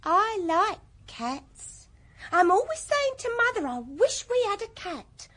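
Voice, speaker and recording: A woman's voice: very high voice, sing-song, slow pace, fairly bright tone, heavily acted. An actress playing a homely, questioning young working-class woman in a radio drama, plain-spoken, with an English accent. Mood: homely, probing.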